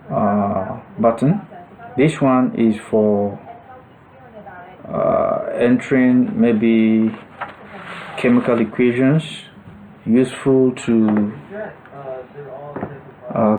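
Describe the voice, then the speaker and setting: A man giving an unscripted step-by-step software demonstration, thinking aloud in a halting manner. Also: fairly low pitch, rough recording. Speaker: software tutorial instructor.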